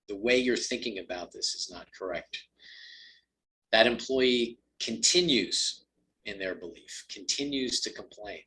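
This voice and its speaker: A man with a lowish voice, raspy at the edges after a full day of talking, walks listeners through a law. His tone is bright and forward. He talks in stops and starts, full of ums and uhs. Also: low-quality recording. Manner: conversational, many ums and uhs, restarts. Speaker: employment lawyer presenter. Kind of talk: webinar talk on employment law.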